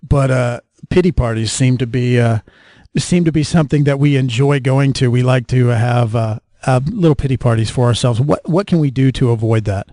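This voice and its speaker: A man's voice: medium pitch, moderate pace, mellow, dry recording, clean studio sound. A man hosting an interview podcast in a conversational style.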